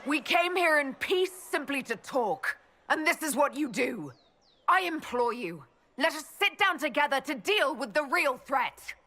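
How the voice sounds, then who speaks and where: A woman's voice: high voice, sing-song, measured pace, sharp tone, heavily acted. An actress playing a bold young woman envoy in a fantasy game, speaking frankly and articulately. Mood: pleading. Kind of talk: fantasy game cutscene dialogue.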